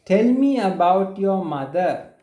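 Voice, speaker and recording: Slow, patient Sri Lankan teacher. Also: male voice, sits high, big pitch swings, reverberant, lo-fi sound. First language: Sinhala. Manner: patient, repeats questions, brief praise. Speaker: spoken English teacher. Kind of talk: oral drill over a call.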